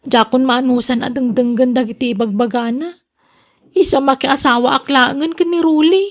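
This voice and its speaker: An actress playing a meek, sorrowful young daughter, subdued and reluctant, in radio drama style. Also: female voice, sits high, steady tone, moderate pace. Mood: reluctant, resigned.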